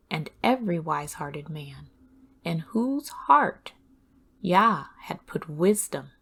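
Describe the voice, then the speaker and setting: Slow, gravelly-edged woman reading scripture. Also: mid-range voice. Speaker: host reading scripture aloud. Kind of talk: devotional audiobook-style scripture reading.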